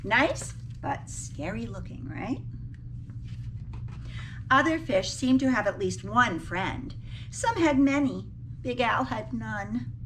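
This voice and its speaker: Female storybook reader, teacher type, higher voice, animated emphasis, recorded away from the mic with some background noise. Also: far from the mic. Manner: animated, dramatic emphasis with drawn-out key words. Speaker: teacher reading a picture book aloud. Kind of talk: expressive children's storybook read-aloud with asides to listeners.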